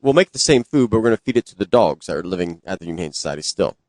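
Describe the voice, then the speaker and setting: A man's voice: sits low, animated, very fast, darkish tone, no room sound. A man co-hosting a podcast in casual, comedic banter. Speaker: podcast co-host.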